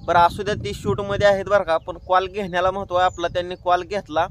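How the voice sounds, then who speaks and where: Guy w/ rural maharashtra accent, really high voice, chatty prank show host talking to his subscribers. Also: level tone, fast talker, a bit bright, a little hiss or hum. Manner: colloquial, addresses viewers directly. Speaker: prank-call channel host. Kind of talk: casual chatty vlog narration.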